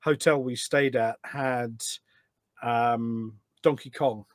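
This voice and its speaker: A man's voice: mid-range voice, fairly even intonation, takes it slowly, no echo, clean studio sound. A middle-aged British man chatting casually as a podcast guest, rambling and correcting himself as he reminisces. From United Kingdom.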